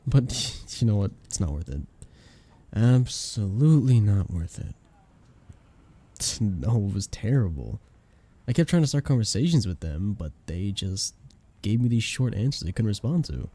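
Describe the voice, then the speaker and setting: A young man playing a playful, affectionate best friend in a casual ASMR roleplay, speaking in a soft, teasing, expressive way. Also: fairly low pitch, measured pace. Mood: tired, venting. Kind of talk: ASMR roleplay, casual intimate chat with listener.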